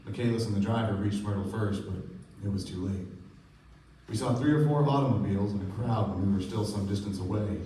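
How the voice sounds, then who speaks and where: A man's voice: on the low side, brisk, warm tone, lightly acted. A man playing a sober, reflective narrator in a radio play, recorded at a distance with strong echo and faint noise. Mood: somber. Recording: echoey, noisy stage recording from far off.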